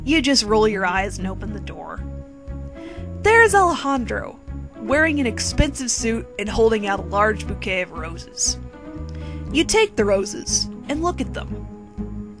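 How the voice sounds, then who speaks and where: A woman giving a theatrical, playful and sarcastic dramatic reading of a comic parody story in the second person. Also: fairly high pitch. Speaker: fan fiction reader. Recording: quirky background music under the voice. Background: quirky instrumental music.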